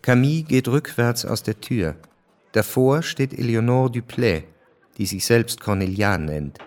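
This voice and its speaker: A man narrating a radio drama from a script in a measured, matter-of-fact way. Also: mid-range voice, darkish tone.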